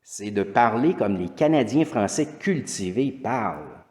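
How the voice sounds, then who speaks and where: A man's voice: on the low side, big pitch swings, a little slow, a little mellow. Québécois man lecturing in an echoey hall. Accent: Québécois French. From Quebec. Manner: rambling, with frequent restarts and fillers. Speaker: teacher giving a lecture. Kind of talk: conference lecture. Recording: echoey hall with long reverb.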